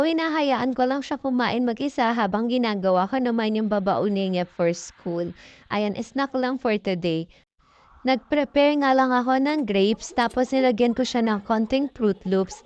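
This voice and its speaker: Higher-pitched Filipino woman, chatty vlog narration. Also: moderate pace, a little mellow, dull audio. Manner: chatty, informal. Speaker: mom vlogger. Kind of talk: casual vlog voice-over narration.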